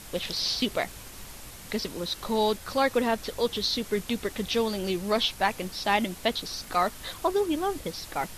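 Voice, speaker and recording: A woman's voice: medium pitch, a bit bright, rough recording. A woman narrating a fan fiction as a comic dramatic reading, with playful, exaggerated storytelling. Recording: hissy amateur home recording.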